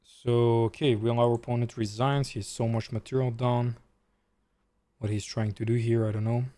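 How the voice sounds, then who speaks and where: A man with a Serbian accent streams a chess game live and talks casually as he plays. He reads viewers' questions aloud and answers them in a loose, chatty way. His voice sits at a middling pitch and sounds dry and close to the mic. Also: little pitch movement, normal speed, warm tone, no echo.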